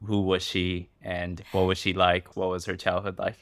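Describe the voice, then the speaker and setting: Deep-voiced male podcast host. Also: monotone, moderate pace, fairly bright tone, smooth, no room sound, clean studio sound. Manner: asks rambling, searching questions. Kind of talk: casual interview.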